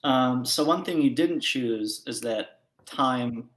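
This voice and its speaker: A man running a tabletop game as game master, describing scenes in a relaxed way with frequent fillers. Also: medium pitch, takes it slowly, roomy sound.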